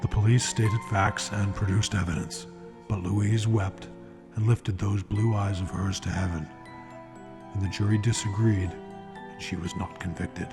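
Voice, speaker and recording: A solemn, theatrical mystery storyteller performed by a man in the old radio manner. His voice is lowish and gravelly, with deliberate dramatic pauses over clear music. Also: steady tone. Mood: solemn, ominous. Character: grave, theatrical male true-crime storyteller host. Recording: music behind the voice.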